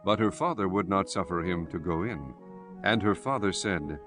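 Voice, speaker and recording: A man's voice: deep voice, animated, moderate pace. A man reading scripture as a scripted narrator, solemn and formal. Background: prominent music.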